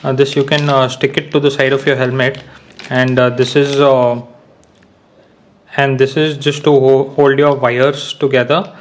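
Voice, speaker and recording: A young man explaining an unboxing and install step by step, with many pauses and fillers. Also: mid-range voice, level tone. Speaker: motorcycle gear reviewer. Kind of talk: casual product unboxing and how-to.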